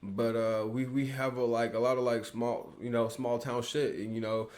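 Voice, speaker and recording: A man's voice: medium pitch, level tone, normal speed, darkish tone. A man from the Midwest reacting to a music video in a casual review, rambling with lots of fillers and slang. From Peoria, Illinois, US.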